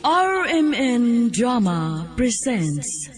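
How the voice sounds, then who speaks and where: A woman's voice: a little high, very animated, extremely slow, over music. A woman with a Filipino accent delivering a scripted radio drama introduction as announcer.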